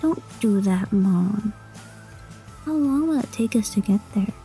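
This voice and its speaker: An eager, curious schoolgirl in an audio drama, voiced by a woman. Her delivery is childlike but unhurried, with a soft, mellow tone and faint music behind it. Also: medium pitch, animated, lightly acted. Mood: mildly whiny. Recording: music under the voice.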